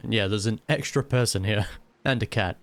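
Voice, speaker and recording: A man's voice: lowish voice, normal speed, fairly bright tone, no room sound. A man giving casual, amused live commentary on a video game, talking back to it as he plays. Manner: chatty, amused, answers the game aloud. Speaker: video game reaction streamer. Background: game music.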